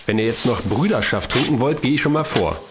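A man playing a brisk, practical explorer in a German science-fiction audio drama, urging his companions along. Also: mid-range voice, expressive, close to an everyday voice. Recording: faint background noise.

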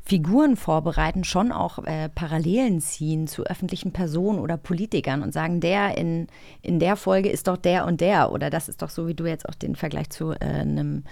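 A woman's voice: low-pitched, fairly quick, vocal fry, a little mellow, dry recording. A woman co-hosting a magazine show, chatting casually with her colleagues. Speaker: co-host of an audio drama magazine show. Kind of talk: casual chat.

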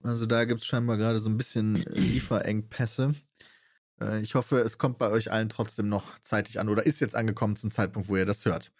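A man hosting a podcast, talking briskly and casually, running through lists with small laughs. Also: lowish voice, flat delivery, no room sound, studio quality. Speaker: podcast host.

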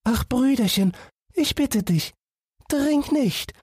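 A man's voice: very high-pitched, lively intonation, slow, a little mellow, husky, no room sound, studio quality, voice pushed up, full character voice. A man voicing an anxious, devoted little girl in a fairy tale, speaking in a pleading, tender manner.

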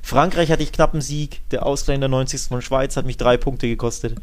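A man's voice: medium pitch, steady tone, brisk. A man co-hosting a football podcast, chatting casually in a colloquial, emphatic and opinionated way. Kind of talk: casual football chat and commentary.

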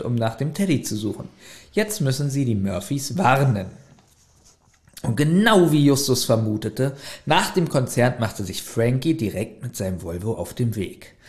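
A man co-hosting a casual podcast, reading a plot summary aloud and breaking off for chatty side comments. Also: on the high side. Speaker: podcast co-host.

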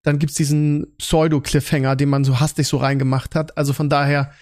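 Higher-voiced man hosting a casual podcast. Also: little pitch movement, a little fast, a little mellow. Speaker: podcast host. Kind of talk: casual podcast chat about series and films.

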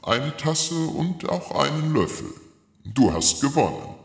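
An actor playing a sly, easygoing giant in a fairy-tale audio drama, with a hammy, drawn-out delivery. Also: male voice, fairly high pitch, sing-song, creaky, roomy sound, full character voice. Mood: sly, good-natured. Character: sly giant who feigns being beaten, easygoing.

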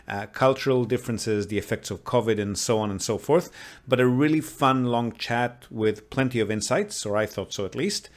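A man hosting a casual video call interview, chatty and somewhat halting, often repeating the starts of his words. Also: mid-range voice, dry recording. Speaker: host of a real estate show.

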